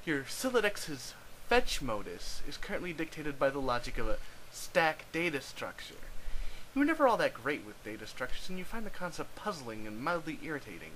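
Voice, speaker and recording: A man's voice: on the high side, very animated, moderate pace, a bit bright, slight background noise, low-quality recording. A man narrating a scripted story, reading it aloud.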